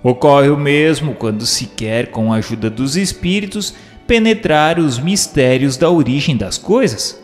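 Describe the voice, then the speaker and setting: A man reading scripted narration in a formal, measured manner, posing questions and commentary like an audiobook narrator. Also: mid-range voice, animated. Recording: long hall reverb. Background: music.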